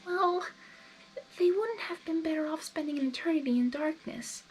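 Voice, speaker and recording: Woman voicing a soft, timid, high-pitched young pony. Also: soft background music, natural voice, poor audio. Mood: hesitant. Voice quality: soft, quiet. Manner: hesitant, halting. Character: timid, gentle young female pony, shy and hesitant.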